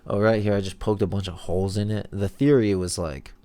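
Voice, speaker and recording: A man's voice: lowish voice, fairly even intonation, fairly quick, mellow. A young man with a stuffed-up, nasal voice, rambling in a laid-back, slangy way as he comments over his own video. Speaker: video host. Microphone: headphones monitoring, voice-over mic.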